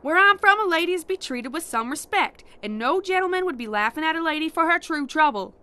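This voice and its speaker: A woman's voice: extremely high pitch, animated, a little fast, pushed and bright, heavily acted. A woman playing a stubborn, plain-spoken young frontier woman in love. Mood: indignant. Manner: bold, indignant, plain-spoken.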